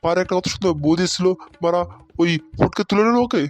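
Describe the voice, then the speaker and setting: A suspicious, foul-mouthed young man of the village, as a cartoon performer plays him. He grumbles quickly in slang, sulky in temper, with music playing behind. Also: mid-range voice, no room sound, lightly acted. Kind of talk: comic cartoon dialogue.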